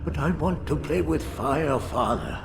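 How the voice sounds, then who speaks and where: An actor playing a cold, cruel medieval doctor in a game cutscene, proud, eloquent and condescending, with music behind him. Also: male voice, a little high, animated, a little slow, vocal fry, background noise. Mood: scornful, self-assured. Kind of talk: dramatic video game cutscene acting. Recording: music under the voice.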